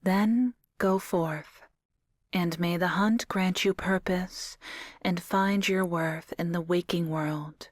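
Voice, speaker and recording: An actress playing a solemn, gentle doll who guides a hunter in a gothic audio drama, speaking in measured phrases. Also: female voice, lowish voice, steady tone, dry recording. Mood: solemn blessing.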